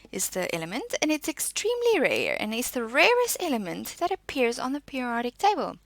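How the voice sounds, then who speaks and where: A woman giving a spoken lesson as a teacher, reading out and explaining in short, deliberate, instructive statements. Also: on the high side, vocal fry, pushed and bright, dry recording.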